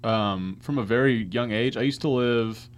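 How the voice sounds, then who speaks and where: A man's voice: mid-range voice, moderate pace. A man, co-hosting a podcast in an easygoing, laughing conversational way. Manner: easygoing, laughs often, storytelling. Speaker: podcast co-host. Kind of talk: casual chat.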